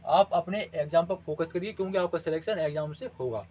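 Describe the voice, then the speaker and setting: High-pitched man explaining, dull audio. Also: fast talker, husky. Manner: instructional, repeatedly checks in with the listener. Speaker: exam-coaching host. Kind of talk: informal explainer of job vacancy details.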